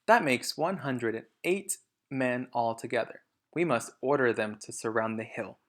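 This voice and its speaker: A man's voice: mid-range voice, moderate pace, close to an everyday voice. A determined, grim male farmer from a children's storybook, acted out by a man reading aloud. He talks bluntly, like someone set on his hunt. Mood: commanding.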